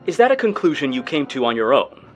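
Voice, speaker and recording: An actor playing a mocking, condescending university president. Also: male voice, mid-range voice, sing-song, fast talker, faint music behind, lightly acted. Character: scornful university president, middle-aged man. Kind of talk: radio drama acting.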